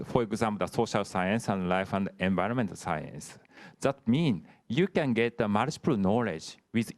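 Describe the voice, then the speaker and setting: A man who is a professor, answering questions in an online lecture in halting speech full of restarts. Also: fairly low pitch. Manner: halting, frequent filler "the" and restarts.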